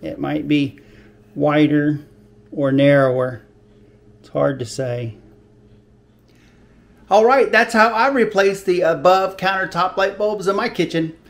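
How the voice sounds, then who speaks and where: An older man hosting a home repair video in his kitchen, explaining in a relaxed, folksy, plain-spoken way on a lapel mic. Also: on the high side, takes it slowly, creaky, pauses often. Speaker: DIY video host. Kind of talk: casual how-to demonstration.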